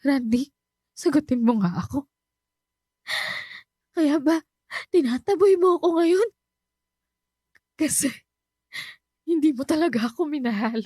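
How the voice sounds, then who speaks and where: A woman's voice: sits high, expressive, unhurried, pauses often, dry recording. A woman with a Filipino accent playing an emotional, pleading young woman in love, in a radio drama. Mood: hurt, accusing. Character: heartbroken young woman in love with an older man. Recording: soft music bed under dry studio voice.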